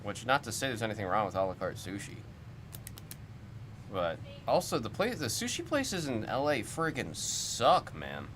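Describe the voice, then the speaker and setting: A man's voice: lowish voice, sing-song, moderate pace, fairly bright tone, a little hiss or hum. A young man hosting a livestream, chatting loosely and puzzling aloud over viewer comments. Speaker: livestream host of card breaks. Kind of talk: casual chat reacting to viewer comments. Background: music.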